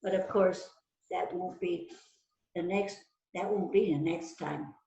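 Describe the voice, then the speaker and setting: Self-assured detective played by a woman, unhurried halting script reading, amateur stage mystery, echoey dull recording. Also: on the low side, dark tone. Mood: stern. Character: police detective, probing, self-assured, methodical. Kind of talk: amateur readers theater, read from script. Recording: roomy echo, dull muffled sound, noisy.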